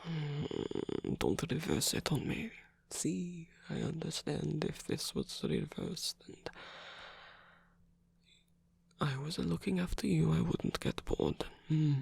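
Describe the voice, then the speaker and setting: A performer voicing a flirtatious but flustered, tender male rock frontman in an intimate ASMR romance roleplay, speaking in a hesitant, halting, husky whisper. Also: mid-range voice, huge pitch swings, darkish tone, dry recording, heavily acted. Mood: playful, shy.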